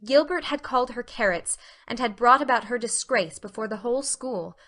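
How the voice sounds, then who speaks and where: A woman narrating a storybook audiobook in an expressive, scripted style. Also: fairly high pitch, moderate pace.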